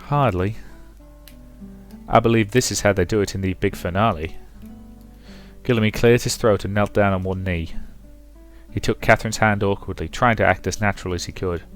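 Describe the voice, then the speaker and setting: A man's voice: fairly low pitch, fairly even intonation, moderate pace, pauses often, faint music behind. Wry young British man, casual commentary. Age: 20s. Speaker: let's play host. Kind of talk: casual commentary while reading a visual novel aloud.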